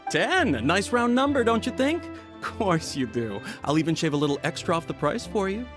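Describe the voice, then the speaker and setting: A man voicing a smooth-talking tea merchant with a persuasive salesman patter. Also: sits high, very animated, moderate pace. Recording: game audio with music.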